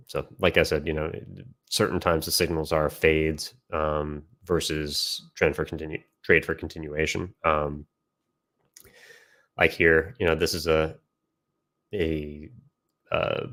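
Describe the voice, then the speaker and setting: A man giving informal instructional commentary as a trading educator, pausing mid-phrase to think as he explains and answers questions. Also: very deep voice, fairly bright tone. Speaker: trading educator and system developer.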